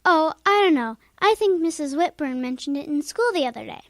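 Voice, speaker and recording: A woman's voice: high-pitched, expressive, fairly quick, no room sound, clean studio sound. A woman playing a young schoolgirl in a children's radio drama, helpful, frank and plain-spoken in a childlike way. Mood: casual, evasive.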